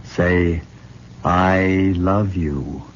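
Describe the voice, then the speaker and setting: An actor playing a possessive, condescending middle-aged professor and hypnotist in an old-time radio drama, coaxing deliberately on a muffled, noisy old recording. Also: male voice, deep voice. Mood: hypnotic coaxing.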